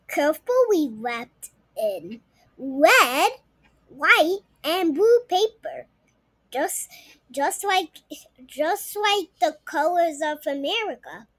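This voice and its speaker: A young girl reading a storybook aloud, haltingly and often repeating words. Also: extremely high pitch, sing-song, dry recording. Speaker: story reader.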